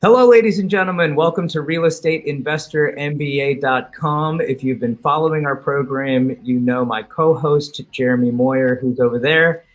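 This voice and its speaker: A man's voice: on the high side, normal speed, hardly any pauses. An American man hosting a podcast, opening the show in an informal, enthusiastic, conversational way. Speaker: podcast host.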